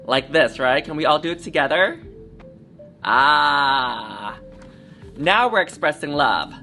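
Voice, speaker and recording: Lady doing kids storytime, theatrical and super animated, kinda slow, bit of music behind. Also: deep voice. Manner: theatrical, encouraging, addresses children with questions and gasps. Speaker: drag artist storytime reader. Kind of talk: children's picture-book read-aloud.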